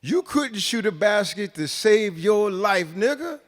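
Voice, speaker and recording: Man acting out exasperated, high-pitched street-talking guy. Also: very animated, a little slow, long reverb tail. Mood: heated, mocking. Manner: heated, slangy, emphatic.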